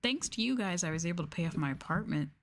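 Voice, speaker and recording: A woman streamer chatting casually in a soft-spoken ASMR style, rambling and swearing as she goes. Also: fairly low pitch, expressive, fast talker, a little hiss or hum. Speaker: VTuber streamer.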